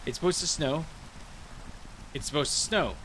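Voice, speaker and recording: A man's voice: on the high side, normal speed, fairly bright tone, slight background noise, rough recording. A man giving casual, rambling commentary while playing a video game, thinking aloud with many restarts. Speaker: gaming video creator. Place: cold room with a heater.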